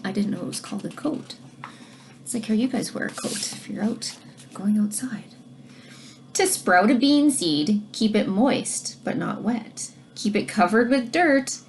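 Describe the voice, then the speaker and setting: Woman reading aloud with lively, swooping pitch. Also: slight background noise. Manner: simple, child-directed phrasing, pointing things out. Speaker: teacher reading a picture book aloud to pupils. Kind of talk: read-aloud lesson with explanatory asides.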